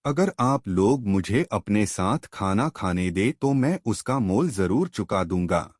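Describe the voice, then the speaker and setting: A man's voice: fairly low pitch, expressive, slow, a little mellow, no echo, clean studio sound. A man voicing a weary, courteous wayfarer in a moral story, pleading for a share of food. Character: weary, polite male wayfarer asking for food.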